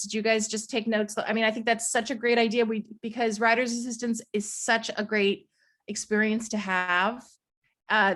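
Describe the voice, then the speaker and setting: A woman's voice: medium pitch, a bit bright. A woman asking questions as a moderator in a casual, conversational and encouraging way. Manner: encouraging, affirming.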